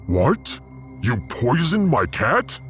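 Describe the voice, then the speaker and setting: A man's voice: mid-range voice, huge pitch swings, slow pace, fairly bright tone, faint music behind, full character voice. A man voicing a big, blunt, hot-tempered barbarian crewman in a science fiction audiodrama. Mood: outraged.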